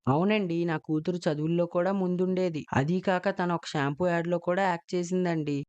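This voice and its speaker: A man's voice: high voice, steady tone, a little fast, darkish tone, clear, resonant tone, muffled recording, close to an everyday voice. A man voicing a kind, reassuring father in a cartoon story. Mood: pleased.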